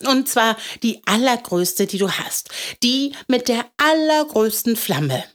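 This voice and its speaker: Woman playing an earnest little boy, high-pitched. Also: expressive, takes it slowly. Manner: solemn, childlike. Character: earnest, downcast little schoolboy buying a candle.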